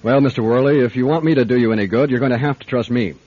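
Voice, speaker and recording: An actor playing a wary, dry-humored private detective in a radio drama, with a measured, probing delivery. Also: male voice, medium pitch, no room sound, lightly acted. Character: gruff, wary male private detective who narrates. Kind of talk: radio drama acting and first-person narration. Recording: dull lo-fi radio drama recording.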